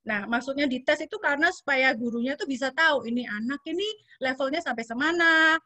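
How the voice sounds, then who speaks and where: A woman's voice: sits high, a little fast, sharp tone, dry recording. A woman in her thirties with a Javanese accent, chatting casually as a webinar guest speaker and mixing in English words. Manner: chatty, mixes in English words, many fillers.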